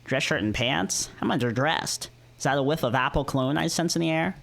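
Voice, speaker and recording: A man's voice: fairly high pitch, fairly quick, creaky, fairly bright tone. A man hosting a visual novel read-through, moving between narration and casual chat. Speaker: host of visual novel readings.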